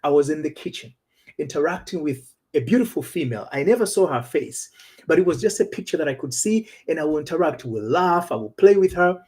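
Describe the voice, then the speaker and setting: A man's voice: fairly high pitch, dark tone, slightly rough. A middle-aged man, a relationship coach telling a personal story in an earnest, personal way. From Tanzania. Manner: earnest and personal, with frequent restarts. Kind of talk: storytelling talk show monologue.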